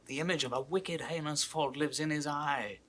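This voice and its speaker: A man's voice: on the high side, normal speed, fairly bright tone, slight background noise, close to an everyday voice. A man acting an earnest, indignant English nobleman in a classical Shakespeare audio drama, declaiming with emphatic rhetoric. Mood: hushed, fearful.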